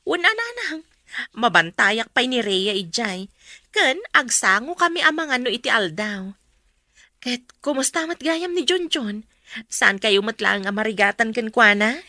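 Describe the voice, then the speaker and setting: Bright-voiced young working mother, radio drama. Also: on the high side, sing-song, a little slow, no echo, studio quality, full character voice. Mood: cheerful. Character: young mother working at a school canteen, dutiful. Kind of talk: Ilocano radio drama acting.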